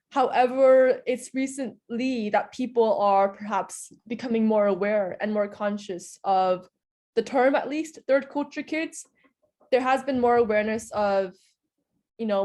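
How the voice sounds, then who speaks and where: Earnest young woman, higher voice. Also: darkish tone. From Hungary. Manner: earnest, explanatory, frequent "in a sense" hedges. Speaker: interview guest. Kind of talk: interview answers.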